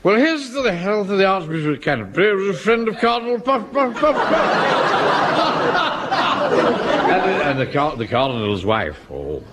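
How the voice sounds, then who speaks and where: Blustering English army captain in a sitcom: pompous, flustered, wildly swinging pitch, heavy background noise. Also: male voice, wildly swooping pitch, normal speed, pauses often, crowd noise. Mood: tipsy, confused. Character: pompous, flustered middle-aged male Home Guard captain. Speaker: actor in a comedy. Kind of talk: British sitcom acting. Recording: noisy old comedy broadcast.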